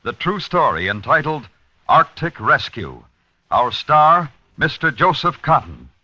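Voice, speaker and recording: A man's voice: fairly high pitch, sing-song, unhurried, bright, forceful tone, dry recording. A man announcing a radio show in a dramatic 1950s broadcast style. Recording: muffled vintage radio broadcast. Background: music.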